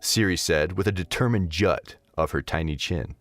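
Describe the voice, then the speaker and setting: A man narrating a scripted story in an expressive storytelling manner. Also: low voice, moderate pace, no echo, clean studio sound.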